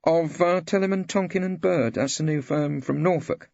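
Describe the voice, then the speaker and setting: A man voicing a brisk, businesslike barristers' clerk in an audiobook. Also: a little high, creaky, darkish tone, no room sound, highs cut off, voice pushed up. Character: male barristers' clerk, brisk, matter-of-fact. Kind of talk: audiobook character dialogue.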